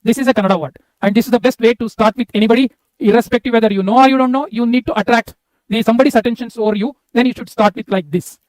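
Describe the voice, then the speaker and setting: A man's voice: extremely high pitch, fairly even intonation, racing, darkish tone, dry recording, rough recording. A man with an Indian accent teaching a language lesson, instructive and repetitive, breaking phrases down word by word. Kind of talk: spoken language lesson with slides.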